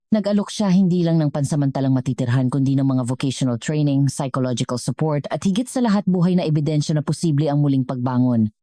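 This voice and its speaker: A woman with a Filipino accent narrating a scripted story in an earnest, measured way. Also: deep voice, warm tone, dry recording, clean studio sound.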